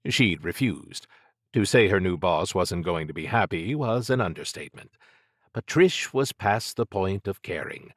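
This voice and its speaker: Man, audiobook narrator, scripted fiction, lowish pitch, dry sound. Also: no echo.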